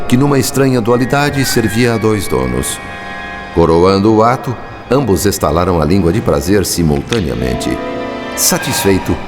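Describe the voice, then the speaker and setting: Deep, expressive male audiobook narrator. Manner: formal, literary, dramatic. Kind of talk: dramatic scripted audiobook narration. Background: music.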